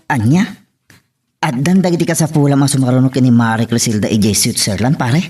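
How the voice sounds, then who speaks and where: A man's voice: mid-range voice, fairly quick, darkish tone, slightly rough, soft background music, close to an everyday voice. A man acting a chatty, encouraging male friend in an Ilocano audio drama. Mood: interested. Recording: slightly far from the mic.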